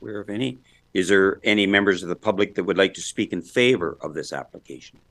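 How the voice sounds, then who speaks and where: Guy running a council meeting, lowish voice, polite and procedural. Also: quick pace, a bit bright. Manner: courteous, procedural. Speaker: meeting chair, mayor. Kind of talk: chairing a public council meeting.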